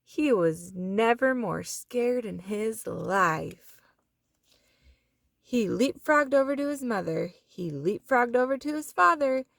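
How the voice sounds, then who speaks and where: A woman reading a children's storybook aloud in an expressive manner, drawing out words and sound effects. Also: on the high side, slow pace, creaky, no room sound, clean studio sound. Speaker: children's book reader. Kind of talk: animated storybook read-aloud.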